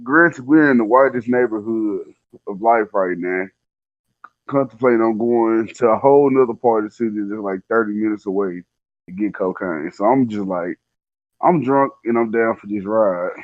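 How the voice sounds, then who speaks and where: Low-voiced man, slangy storyteller. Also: moderate pace, no room sound, narrow, tinny audio. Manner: laid-back, conversational, acts out quoted dialogue. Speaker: podcast guest telling a personal story.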